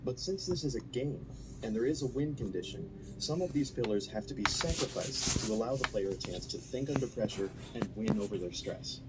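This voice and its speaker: A man's voice: mid-range voice, expressive, fairly quick, heavy background noise. A man delivering scripted, analytical narration in the style of a video essay.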